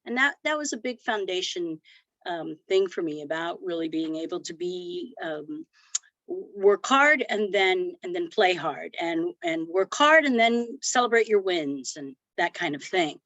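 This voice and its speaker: Woman, middle-aged, podcast guest, reflective, halting, dull dry recording. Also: on the low side, sing-song, fairly bright tone, no echo. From Cuba. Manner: reflective, with frequent fillers and restarts. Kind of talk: conversational interview.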